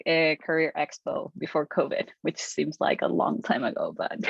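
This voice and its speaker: A young woman speaks casually as a panelist. Her voice is low, and the video-call sound is dry and a little dull. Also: sing-song, slow pace, gravelly, husky, no echo. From Chile. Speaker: alumni panelist. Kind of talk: casual self-introduction.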